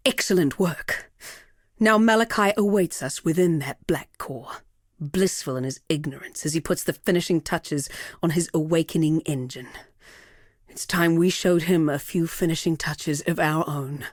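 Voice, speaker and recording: An actress playing a proud, sardonic villainess and world-weary killer in a video game, speaking in a theatrical, measured way. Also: female voice, sits low, no echo, clean studio sound. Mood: defiant, wry. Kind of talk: dramatic scripted game dialogue.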